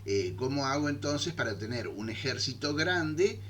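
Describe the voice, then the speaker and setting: A man teaches a history class in an easy, unscripted way. He often stops on "eh" and tags his points with a questioning "¿eh?" to keep his listeners with him. His voice sits at a middling pitch, and a faint hum runs underneath. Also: very animated, moderate pace, fairly bright tone. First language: Spanish. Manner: thinks aloud with frequent "eh" fillers and "¿eh?" checks. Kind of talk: unscripted lecture.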